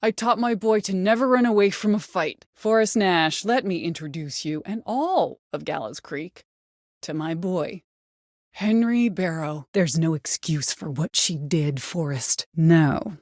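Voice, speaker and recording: Casting: bitter middle-aged mother turned game villain, low register, commanding, coldly menacing, close dry recording. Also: darkish tone, no room sound. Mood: cold menace. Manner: commanding, deliberate, threatening. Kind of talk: dramatic video game voice acting.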